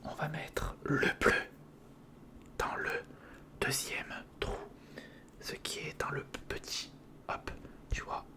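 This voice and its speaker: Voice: slow pace, long pauses. An ASMR creator whispering casual step-by-step commentary on a hands-on build, murmuring directly to the listener.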